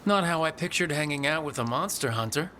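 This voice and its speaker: An actor playing a confident young hero in a video game, with a casual, wry and reassuring manner. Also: male voice, a little high, lively intonation, brisk, bright, forceful tone, a little hiss or hum.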